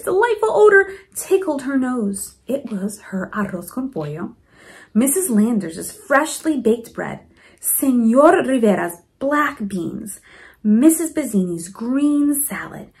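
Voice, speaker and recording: Woman, children's read-aloud host: high voice, very lively intonation, expressive and chatty with young listeners. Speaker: principal reading a storybook aloud to children.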